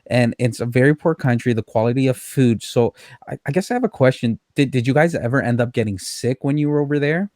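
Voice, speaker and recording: A man hosting a faith podcast interview, speaking in a halting, sentimental way with many restarts. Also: medium pitch, darkish tone, no echo, clean studio sound. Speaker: podcast host. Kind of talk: conversational interview.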